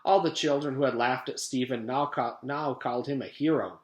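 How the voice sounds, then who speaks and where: A man's voice: medium pitch, moderate pace. A man reading a children's story aloud as a teacher would to a class. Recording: echoey room, home-made reading.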